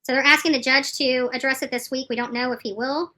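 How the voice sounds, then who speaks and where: A woman with a high-pitched, piercingly bright voice comments live on legal news. She is emphatic and opinionated, hammering points by repeating them. Her delivery is halting, with frequent restarts. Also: fairly even intonation. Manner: emphatic, repeats phrases for stress. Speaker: streamer commenting on court news.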